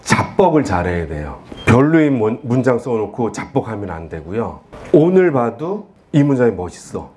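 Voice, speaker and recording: A man's voice: mid-range voice, very animated, normal speed, darkish tone. A man in his forties, an author giving a talk to an audience, speaking earnestly and in a self-deprecating, confiding way. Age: 40s. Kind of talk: lecture to an audience. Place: lecture room at a book event.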